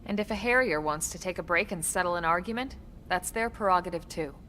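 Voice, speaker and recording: An actress playing a composed, capable military team leader in a video game, with a measured, assured delivery. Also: female voice, on the low side, sharp tone, a little hiss or hum. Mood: reassuring, explanatory. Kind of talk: video game cutscene acting.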